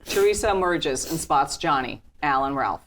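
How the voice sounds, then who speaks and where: A woman with a low voice reads stage directions at a script read-through. The delivery is scripted narration, with faint room noise behind it. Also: expressive, moderate pace, a bit bright.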